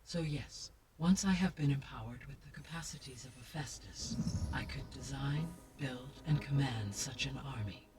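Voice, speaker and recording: A woman voicing a calm, formal female AI guardian in a video game, speaking in a measured, precise way. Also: extremely low pitch, very noisy. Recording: distant game audio with music.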